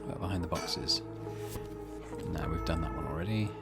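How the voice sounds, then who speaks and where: A man's voice: low voice, moderate pace, creaky. A man doing let's play commentary, muttering asides to himself as he plays a visual novel. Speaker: gaming streamer. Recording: music behind the voice. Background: game music.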